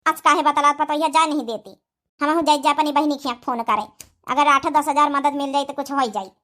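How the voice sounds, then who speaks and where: Woman as high, bright Awadhi mother-in-law. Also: fairly even intonation, brisk. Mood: concerned, practical. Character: practical, concerned rural mother-in-law, older woman. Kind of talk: cartoon comedy dialogue.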